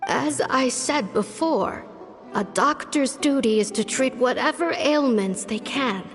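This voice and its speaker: An actress voicing an ailing old woman doctor called a witch, sighing and coughing as she talks. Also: a little slow. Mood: firm. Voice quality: tired, low-energy voice. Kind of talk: video game flashback dialogue. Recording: long hall reverb. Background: soft music.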